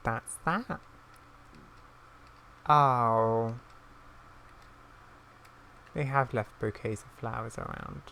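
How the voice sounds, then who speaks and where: A woman's voice: rumbling low, extremely slow, pauses often, a bit bright, a little hiss or hum, low-quality recording. A woman hosting a gaming video, giving casual, rambling commentary and reactions as she plays.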